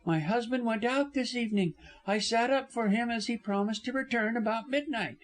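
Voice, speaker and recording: A man's voice: extremely high pitch, normal speed, pitched above the performer's own voice. A man voicing a frightened yet imperious young wife, vehement and impatient, in an audiobook story. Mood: haughty, deliberate. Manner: gasping, vehement, haughty.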